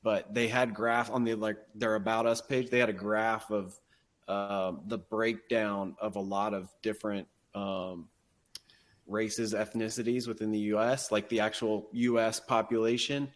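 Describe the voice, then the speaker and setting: A man's voice: lowish voice, little pitch movement, unhurried. A man in a casual interview conversation, asking questions and commenting as a podcast host.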